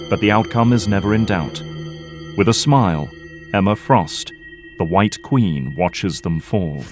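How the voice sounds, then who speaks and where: Lowish-voiced male story narrator. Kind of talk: scripted dramatic story narration.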